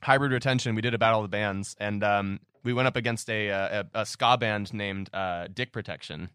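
Crisp, bright, low-pitched young man. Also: steady tone, fairly quick, dry recording, studio quality. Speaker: podcast co-host. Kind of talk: casual chat with friends.

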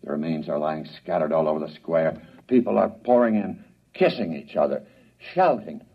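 An actor playing a grave radio news commentator reporting from the scene in deliberate 1950s broadcast style. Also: male voice, rumbling low, animated, breathy edge, full character voice. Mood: urgent. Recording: distant, dull old radio broadcast.

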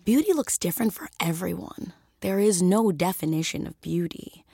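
A woman guest speaking in a measured, reflective way at a roundtable discussion. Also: fairly low pitch, lively intonation, creaky, mellow, husky, dry recording, studio quality. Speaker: guest actress.

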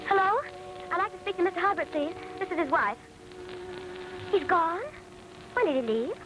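An actress playing an anxious, secretive wife with breathless, dramatic delivery in old radio drama style. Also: female voice, extremely high pitch, animated, normal speed, background noise. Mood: worried. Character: anxious woman secretly covering up her husband's death. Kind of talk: 1940s radio acting.

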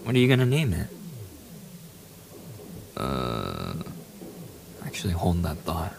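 A man's voice: low-pitched, slow, creaky, music in the background, full character voice. A man playing a famous young pop idol boyfriend in an ASMR audio roleplay, playful, affectionate and eager, teasing the listener in a casual, intimate way. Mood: playful, tender, then hushed, urgent. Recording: steady hiss behind the voice.

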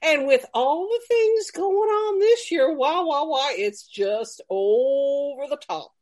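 A woman playing a folksy, effusive cooking show host in a radio comedy, with a drawn-out, gushing delivery. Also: high voice, animated, a little mellow. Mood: chatty, exaggerated. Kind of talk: theatrical radio comedy patter.

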